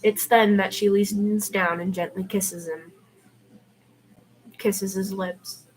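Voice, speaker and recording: A woman's voice: mid-range voice, flat delivery, slow pace. A woman reading fan fiction aloud on an amateur livestream, with casual asides. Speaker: podfic reader and live streamer. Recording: clearly noisy amateur livestream recording.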